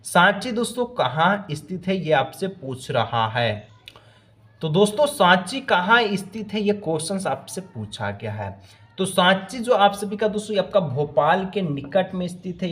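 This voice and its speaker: A man teaching exam questions, working through the answer options aloud and mixing in English phrases. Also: on the high side. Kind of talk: explaining multiple-choice answers.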